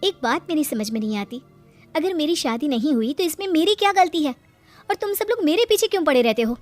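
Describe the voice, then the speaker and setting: A woman's voice: high voice, expressive, brisk, faint music behind. A woman playing an indignant, defensive unmarried schoolteacher daughter of about thirty in a cartoon story. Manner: indignant, protesting. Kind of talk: Hindi cartoon story acting.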